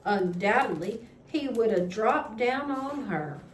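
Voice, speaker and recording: Folksy storybook farmer woman narrator performing, unhurried and matter-of-fact, echoing room with faint noise. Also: on the high side, expressive. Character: plainspoken farmer uncle recounting a panther hunt. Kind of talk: children's storybook read-aloud. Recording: echoey room with background noise.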